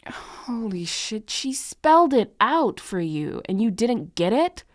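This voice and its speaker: A woman playing a snarky but soft-hearted young tutor in an ASMR romance roleplay, halting and sweary, with sighs and a tendency to drop into whispers. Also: fairly high pitch, very animated, no echo, studio quality. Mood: exasperated, harsh. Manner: halting, awkward, sweary, often sighing and dropping to whispers. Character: harsh, snarky young female tutor turned flustered, guilty comforter.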